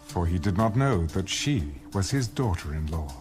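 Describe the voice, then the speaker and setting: A man's voice: deep voice, animated, moderate pace, darkish tone, faint music behind. A man reading scripture as the narrator of a dramatized audio Bible.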